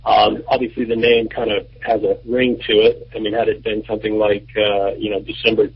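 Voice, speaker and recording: A man in his thirties, a band member and producer, answering radio interview questions in a relaxed, rambling way with many fillers. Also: fairly low pitch, fairly quick, gravelly, slightly rough. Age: 30s. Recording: muffled lo-fi radio recording.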